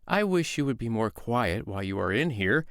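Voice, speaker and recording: A man voicing a calm, shrewd foster mother, talking in a sweetly sly, coaxing way. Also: mid-range voice, moderate pace, gravelly, no echo, studio quality.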